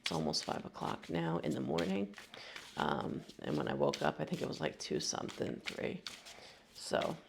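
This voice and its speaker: A woman's voice: low-pitched, wildly swooping pitch, moderate pace, creaky, noisy background. A woman with a cold, sounding sniffly, giving a casual, rambling tarot reading full of slang and fillers. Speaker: tarot reader.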